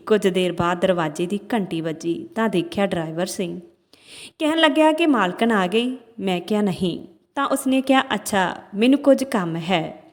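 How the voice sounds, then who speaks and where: A woman narrating a dramatic first-person story from a script, as a storyteller. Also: mid-range voice. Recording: long hall-like reverb on voice.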